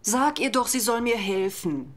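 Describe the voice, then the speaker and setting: An actress playing a harried, complaining housewife in a religious audio drama, indignant and plaintive. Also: female voice, fairly high pitch, expressive, measured pace.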